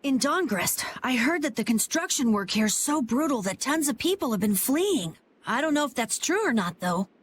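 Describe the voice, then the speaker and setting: A woman voicing an earnest, excitable young boy in a guild, in a video game. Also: high voice, normal speed, slight background noise. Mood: thoughtful.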